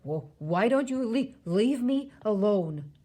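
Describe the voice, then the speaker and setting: A woman's voice: medium pitch, expressive, measured pace, darkish tone, breathy edge. A woman voicing a timid, nervous male giant, the runt of his kind, protesting in a children's storybook.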